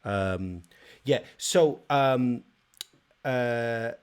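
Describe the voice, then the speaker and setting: A man's voice: mid-range voice, drawn-out words, clean tone. Hesitant male interviewer. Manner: hesitant, many ums and restarts. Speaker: interview host. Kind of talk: casual interview.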